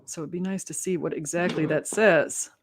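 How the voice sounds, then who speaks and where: A woman's voice: lowish voice, fairly even intonation, normal speed, slight background noise. A woman in her forties hosting a casual interview, chatty, laughing often and thinking aloud. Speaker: host.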